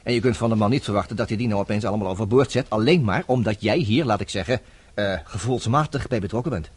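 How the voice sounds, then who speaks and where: A man's voice: lowish voice, fast talker, husky, dry recording. An actor playing a worldly, self-assured man who advises his troubled friend in a chatty, teasing radio drama style. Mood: persuasive, teasing.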